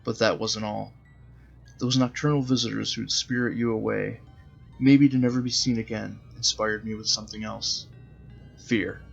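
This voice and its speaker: A man's voice: lowish voice, level tone, moderate pace, creaky, lo-fi sound, faint music behind. A man narrating his own short story in a dramatic storybook style. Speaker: author reading his own zine.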